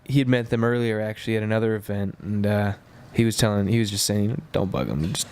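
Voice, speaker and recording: A man's voice: on the low side, fast talker, darkish tone, smooth. A man who is a chef, a guest on a podcast, talking in a laid-back, self-deprecating way. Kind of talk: casual chat.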